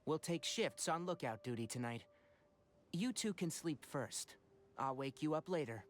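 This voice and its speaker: A man voicing a young, knowledgeable forest ranger in a video game, practical and gently wry, explaining things in an articulate, matter-of-fact way. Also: a little high, animated, measured pace, slight background noise. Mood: calm, practical. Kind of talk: animated video game voice acting.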